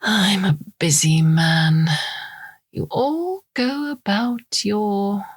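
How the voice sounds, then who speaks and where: A woman voicing a cold, condescending man who talks slowly and looms over his victim. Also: mid-range voice, breathy edge, no room sound, voice pushed up. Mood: menacing. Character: superior, menacing man, cold and slow.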